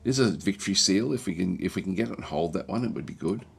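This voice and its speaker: A man with a croaky, recovering voice giving casual, halting livestream commentary as he plays a strategy game and chats with viewers. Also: low voice, animated. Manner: halting, thinking aloud. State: croaky throat, recovering from feeling unwell. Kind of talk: casual game commentary, reading and answering chat.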